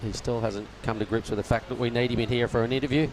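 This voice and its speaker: A man's voice: medium pitch, fairly even intonation, quick pace, a little hiss or hum, rough recording. A man leading live surf commentary, upbeat and chatty, calling the heat and steering the conversation. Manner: upbeat, leads the talk, says mate. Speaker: lead commentator.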